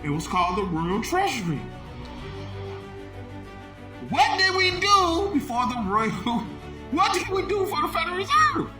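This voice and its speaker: A man hosting a short online video, giving emphatic, repetitive commentary that keeps bursting into shouts. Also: very high-pitched, wildly swooping pitch, moderate pace, over loud music. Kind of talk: animated social media commentary.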